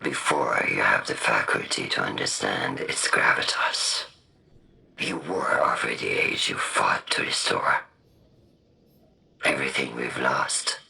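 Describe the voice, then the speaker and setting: A grandiose philosophizing visionary from a video game, with an extremely low and piercingly bright, forceful voice. He orates slowly and portentously, gravel in every phrase, over music. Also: full character voice. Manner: slow, portentous, oratorical. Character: grave, grandiose, philosophizing male visionary speaking across time. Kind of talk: scripted video game monologue. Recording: music under the voice.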